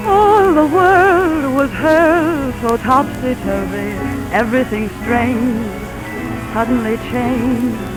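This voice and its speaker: A woman's voice: high-pitched, slow, low-quality recording. A woman singing as featured vocalist in a 1930s radio song. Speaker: featured singer. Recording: vintage 1930s radio, loud music.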